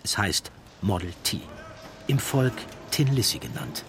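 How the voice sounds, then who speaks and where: A man reads scripted documentary narration in a measured, articulate manner. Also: fairly low pitch, big pitch swings, over music.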